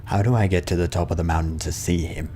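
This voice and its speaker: A man's voice: sits low, steady tone, quick pace, warm tone, a little hiss or hum. A man giving casual, playful and sarcastic commentary while playing a video game. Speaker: gaming video host.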